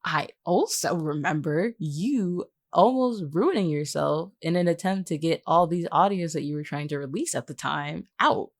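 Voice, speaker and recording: A woman's voice: deep voice, expressive, normal speed, hardly any pauses. A woman chatting casually in a wry, teasing way as a podcast co-host. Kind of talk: casual behind-the-scenes podcast chat.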